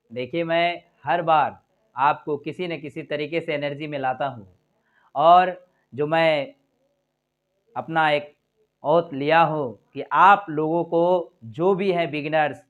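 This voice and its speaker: A man with an Indian accent teaching an English lesson, encouraging and repetitive, stretching out example sounds to show pitch. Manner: encouraging, repeats himself and keeps addressing viewers as friends.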